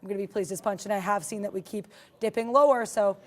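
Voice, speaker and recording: A woman's voice: mid-range voice, fast talker. A young woman co-hosting a live trading stream, commenting on stock charts in a casual, playful way and joking with her co-host. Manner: playful, laughs often, makes puns.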